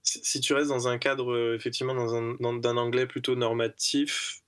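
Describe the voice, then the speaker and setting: A man's voice: mid-range voice, level tone, normal speed, a bit bright, clear, resonant tone. A man co-hosting a casual podcast discussion, thinking aloud and repeating words with many fillers. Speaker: podcast co-host.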